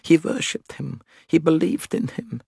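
Man as grave German father, middle-aged, hoarse and dry, insistent, animated pitch, storybook drama. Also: a little slow, no room sound, exaggerated voice. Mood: fervent.

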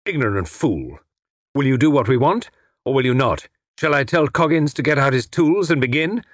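Storybook villain, male reader in role: educated foreign spymaster, smug, courteous, menacing, husky with swooping pitch. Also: lively intonation, moderate pace, dry recording, clean studio sound, natural voice. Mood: impatient, threatening. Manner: mockingly polite, formal, snarling when crossed. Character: suave, menacing well-educated foreign spy posing as inspector.

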